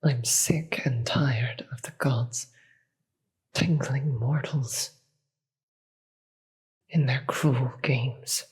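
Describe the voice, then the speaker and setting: Casting: demon queen for audio drama, acted out by one performer, very breathy and husky, slow, commanding yet weary. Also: mid-range voice, steady tone, exaggerated voice, low-quality recording. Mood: weary, regretful. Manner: slow, deliberate, grave. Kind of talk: dramatic audio roleplay acting.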